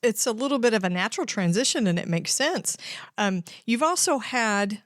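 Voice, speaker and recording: Woman host asking brisk friendly questions. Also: fairly high pitch, lively intonation, no echo, studio quality. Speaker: podcast host. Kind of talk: interview hosting.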